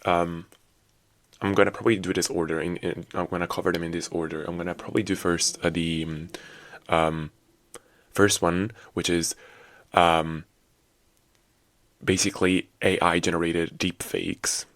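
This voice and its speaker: Very deep, raspy young man. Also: flat delivery, moderate pace, long pauses, a bit bright, no room sound. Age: 20s. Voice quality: low and raspy. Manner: rambling, chatty, sassy asides. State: hoarse after a night out. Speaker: podcast host. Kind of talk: casual solo podcast chat.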